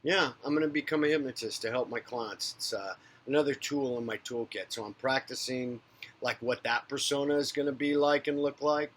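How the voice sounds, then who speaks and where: A man with a bright, forceful voice talks straight to his viewers in an unscripted spiritual monologue. He rambles and speaks candidly, swearing freely, rising to loud rants and then dropping to soft murmurs. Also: medium pitch, fairly quick. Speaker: psychic medium and spiritual talk host. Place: based in a big city.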